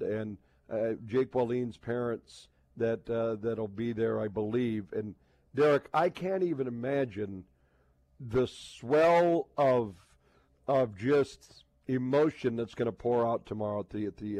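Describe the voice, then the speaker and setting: Guy hosting sports radio, mid pitch, casual, keeps restarting his sentences, no room echo. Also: a little mellow. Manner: halting, repetitive, often restarting sentences. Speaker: sports talk radio host.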